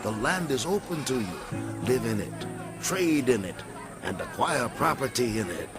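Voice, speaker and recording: Actor as a persuasive ancient city ruler over loud music. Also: male voice, medium pitch, sing-song, moderate pace, a crowd in the background, close to an everyday voice. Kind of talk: dramatized scripture audio drama.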